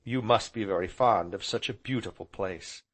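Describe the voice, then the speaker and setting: A man voicing a placid, dreamy gentleman in a storybook, speaking with slow, grave courtesy. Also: fairly low pitch, natural voice. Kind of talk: audiobook character voice.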